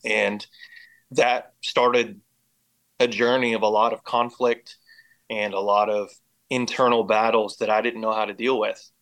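An American man shares his personal story as an interview guest. His voice sits fairly low and stays very even, with little rise and fall. He speaks earnestly and reflectively. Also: measured pace, poor audio. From Indiana and Oklahoma, United States. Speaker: podcast guest.

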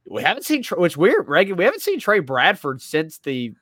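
A man's voice: high-pitched, wildly swooping pitch, brisk, fairly bright tone, no echo, studio quality. A man with a stuffy nose from a cold, co-hosting a casual sports talk show and thinking aloud with lots of restarts. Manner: rambling, self-correcting, thinking aloud. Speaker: podcast co-host.

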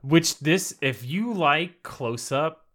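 A man's voice: on the high side, lively intonation, slow, sharp tone. A man co-hosting a podcast, chiming in with jokes and quips while recapping a movie. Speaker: podcast co-host. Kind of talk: casual movie recap chat.

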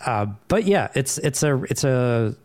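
A man's voice: mid-range voice, big pitch swings, a little slow, a little mellow. A man from Sweden with no Swedish accent, chatting easily as a podcast guest and explaining things at length. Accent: none, sounds American rather than Swedish. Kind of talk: casual podcast chat.

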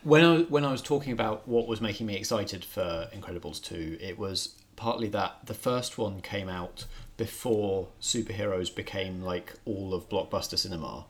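A man's voice: on the low side, moderate pace, hardly any pauses. A man hosting a casual film review chat, speaking in a thoughtful, slightly hesitant way as he works through his opinions.

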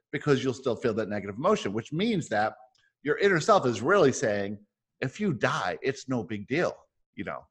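A man hosting a discussion in a casual, explanatory way, as if thinking his points through aloud. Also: medium pitch. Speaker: host of a book club discussion. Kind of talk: casual spiritual self-help discussion with some reading aloud.

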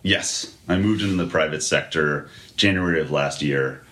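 A man with a deep, sonorous voice, a guest explaining his work in a measured, deliberate way. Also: a bit bright. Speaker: podcast guest. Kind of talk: conversational interview.

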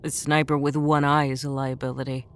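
Tough human woman acted by a woman for audio drama: low-leaning voice, dry, casual, sardonic edge. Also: flat delivery, brisk, slight background noise. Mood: defensive.

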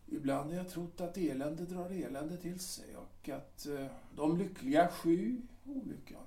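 An actor playing a bitter, ailing middle-aged artillery captain who stammers, repeats himself and scoffs in a radio drama. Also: male voice, fairly high pitch, mellow, close to an everyday voice. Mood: brooding. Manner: halting, scornful, stammers and repeats words. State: ill, short of strength. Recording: echoey room.

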